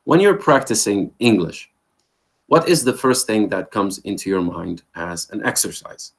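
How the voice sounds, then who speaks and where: A man's voice: fairly low pitch, animated, unhurried, gravelly. A man giving an interactive online language lecture as the trainer, quizzing a participant and affirming his answers over a video call. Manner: questioning, affirming with "there you go".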